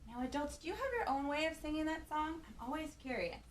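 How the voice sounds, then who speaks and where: A woman with a high voice reads a picture book to young children as a storytime host. She is expressive and animated, with gasps and questions for the little ones. The room is echoey, with faint noise behind her. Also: fairly quick.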